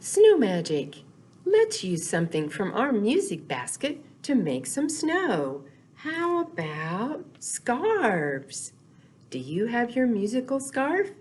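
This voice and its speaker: A woman's voice: on the high side, wildly swooping pitch, slow, a little mellow, husky. A woman leading a storytime for young children, reading aloud and talking in simple, sing-song phrases. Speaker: children's library storytime host. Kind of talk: children's storytime with read-aloud, songs and questions.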